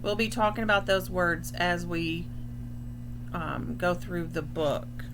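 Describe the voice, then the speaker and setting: A woman's voice: fairly low pitch, steady tone, background noise. A woman speaking as a school librarian in an instructional read-aloud for young children, explaining things as she goes. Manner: explanatory, pausing to define words. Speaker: school librarian reading a picture book aloud.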